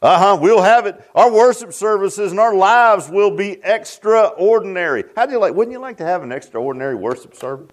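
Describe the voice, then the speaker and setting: Preacher man with a fairly high voice. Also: expressive, brisk, hardly any pauses. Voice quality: deep voice. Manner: repeats and restarts, calls out to the congregation. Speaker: pastor. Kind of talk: sermon. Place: church sanctuary.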